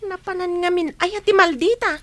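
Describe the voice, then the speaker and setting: A woman playing a gentle older sister. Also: very high voice, brisk, no room sound. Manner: gentle, reassuring. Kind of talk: radio drama acting.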